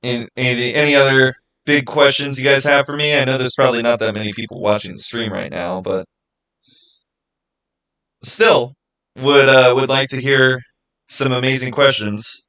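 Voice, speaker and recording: A man hosting a livestream, answering viewers in casual, halting speech and thinking out loud. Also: mid-range voice, steady tone, smooth, low-quality recording. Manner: halting, thinking aloud with repeated phrases. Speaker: livestream host.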